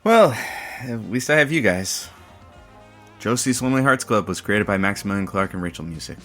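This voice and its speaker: Awkward radio sidekick: slightly low, bright voice, casual and placating, music in the background. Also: male voice, lively intonation, normal speed. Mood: matter-of-fact. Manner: hesitant, placating. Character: awkward, conciliatory male radio studio sidekick. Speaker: radio co-worker who reads the credits. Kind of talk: casual radio drama acting.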